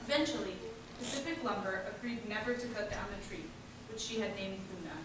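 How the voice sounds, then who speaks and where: A woman's voice: mid-range voice, brisk. A woman reading a scripted introduction aloud as narrator at a live event. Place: large indoor event space, distant microphone. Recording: very noisy, echoey hall from far off.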